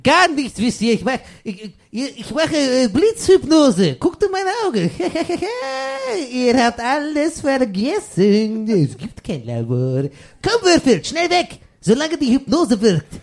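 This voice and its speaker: A man's voice: very high-pitched, huge pitch swings, takes it slowly, few pauses, full character voice. A man playing a bumbling, vain scheming villain in a comedic audio drama, blustering and stammering. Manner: blustering, stammering, exaggerated delivery. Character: bumbling, vain male villain, scheming would-be mad scientist.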